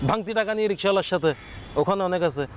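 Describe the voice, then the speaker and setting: A man's voice: high-pitched, very fast, slight background noise. A young man with a Chattogram accent, a comedy content creator giving casual interview answers. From Chattogram, Bangladesh.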